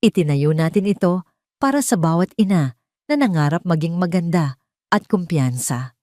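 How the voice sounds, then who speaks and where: A determined woman executive from an audio drama, put on by a female narrator. She speaks firmly and rousingly to her people, in a slightly low voice with a close, dry sound. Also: expressive, moderate pace, a little mellow, dry recording, close to an everyday voice. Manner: firm, impassioned, rousing. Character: determined, brave woman boss rallying her fearful workers.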